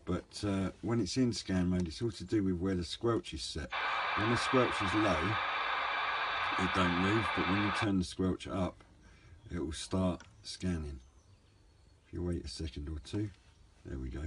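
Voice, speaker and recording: Low-voiced man in a casual review. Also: unhurried, a bit bright. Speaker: hobbyist radio reviewer. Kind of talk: casual, unscripted product review. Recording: clear background noise, room ambience.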